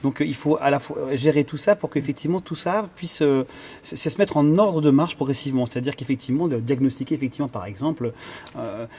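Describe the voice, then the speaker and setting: A man's voice: mid-range voice, fast, darkish tone, slightly rough. Hesitant, thoughtful French-speaking man. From Iran. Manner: thoughtful, many fillers and restarts, searching for words. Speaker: conductor and cellist. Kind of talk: interview answers.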